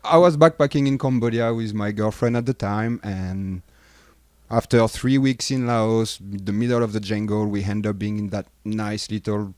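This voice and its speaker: A man from France with a French accent, a guest diving instructor explaining things in a relaxed way. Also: on the low side, no room sound. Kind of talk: casual interview.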